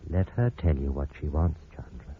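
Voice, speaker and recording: Low-voiced grave radio-drama doctor. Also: male voice, lively intonation, normal speed. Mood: calm, coaxing. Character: grave, considerate male doctor. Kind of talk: old-time radio drama acting. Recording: muffled, hissy old radio recording.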